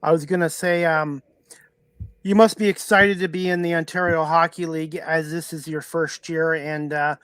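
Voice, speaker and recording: A man's voice: on the high side, flat delivery, normal speed, a bit bright, clean tone, dry recording. A man hosting a podcast talk show, asking questions in a casual, chatty way. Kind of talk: casual interview chat.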